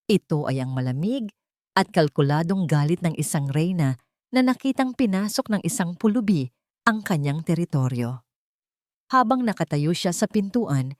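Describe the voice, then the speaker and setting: A woman's voice: lowish voice, a little mellow, no echo, clean studio sound. A woman narrating a scripted romance audiobook in a dramatic storytelling style.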